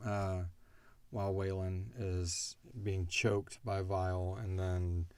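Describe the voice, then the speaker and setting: A man chatting casually as a podcast host, retelling story scenes in an animated way. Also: low voice, slow, slight background noise. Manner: animated retelling, acting out characters' lines. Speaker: podcast host and audio drama creator. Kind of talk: casual chat answering listener questions.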